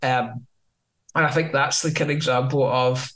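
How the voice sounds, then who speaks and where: A man's voice: mid-range voice, steady tone, measured pace, a bit bright. A man with a Scottish accent hosting a podcast, easygoing and chatty as he agrees and adds his own points. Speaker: podcast host. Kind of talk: casual podcast chat.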